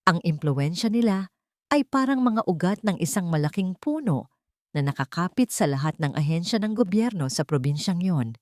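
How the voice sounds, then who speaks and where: Woman storyteller acting stern forties woman lawyer, blunt, probing, drama storybook, slightly low pitch, close dry recording. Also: lively intonation, moderate pace, a little mellow, dry recording, lightly acted. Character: stern, unemotional female lawyer in her forties.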